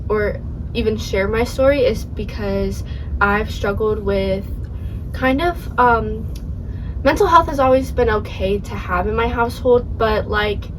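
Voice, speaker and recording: A sixteen-year-old girl co-hosting a podcast, chatting casually with halting speech and many fillers. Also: a little high. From St. Louis, USA. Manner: halting, lots of fillers and restarts. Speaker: podcast co-host. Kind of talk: casual chat. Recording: distant mic with clear background noise.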